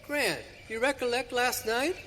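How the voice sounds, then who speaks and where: Man acting a high, jittery western cowhand. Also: a little slow. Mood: anxious. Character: jittery, suspicious wagon-train cowhand on night watch. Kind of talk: live western radio drama acting. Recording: reverberant hall, faint background noise.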